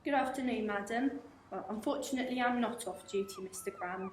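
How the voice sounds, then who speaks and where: A woman's voice: fairly high pitch, huge pitch swings. A young actress playing a polite, dry-witted policewoman inspector in a school radio play. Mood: polite official. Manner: polite, measured and official. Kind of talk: amateur radio play acting. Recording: roomy room echo, faint hiss.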